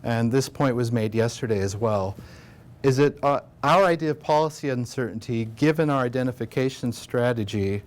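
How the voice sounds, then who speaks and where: An American man presenting research at an academic conference, talking in a relaxed way with many fillers and restarts. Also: medium pitch, normal speed. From United States. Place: conference room.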